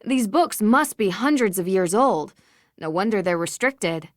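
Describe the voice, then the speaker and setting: A woman's voice: mid-range voice, lively intonation, a little slow, no room sound, clean studio sound, natural voice. A woman playing an inquisitive, observant young detective in a video game. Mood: musing, curious. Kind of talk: game voice acting, musing observations.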